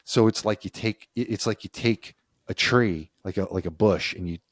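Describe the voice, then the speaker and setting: Low-voiced man co-hosting a chat. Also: moderate pace, no room sound, clean studio sound. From United States. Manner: reads passages aloud, then riffs conversationally. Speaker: podcast co-host. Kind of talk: casual chat.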